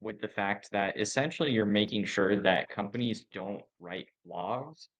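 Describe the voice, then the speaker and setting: A man hosting a podcast interview, summing up ideas in a measured, explanatory way. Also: lowish voice. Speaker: podcast host.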